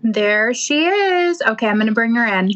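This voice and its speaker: A woman's voice: fairly high pitch, animated, normal speed, fairly bright tone, lo-fi sound. A woman host opening a live show over a video call, chatty and a bit flustered. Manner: chatty, apologetic about fumbling. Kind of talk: show intro.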